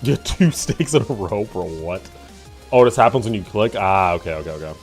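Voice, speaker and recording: Animated young man streaming games. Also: fairly low pitch, faint music behind. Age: 20s. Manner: animated, laughing, swearing, quick asides. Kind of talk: casual live-stream chat with chat.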